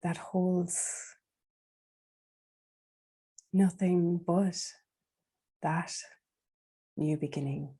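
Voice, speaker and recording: A woman leading a guided meditation in a soft, breathy, soothing voice, leaving long unhurried pauses. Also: lowish voice, fairly even intonation.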